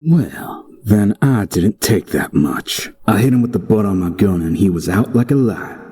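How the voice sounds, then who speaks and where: A man playing a grim, laconic Old West gunslinger who narrates his own tale in a western audio drama over loud music. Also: on the low side, animated, measured pace. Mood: dry defiance. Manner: terse, folksy, hard-bitten. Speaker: protagonist and narrator.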